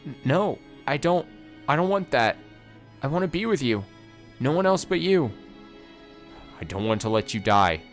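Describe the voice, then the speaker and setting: A man's voice: medium pitch, very animated, normal speed, pauses often, soft background music, pitched above the performer's own voice, natural voice. A man voicing a desperate young woman who pleads frantically. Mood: frantic.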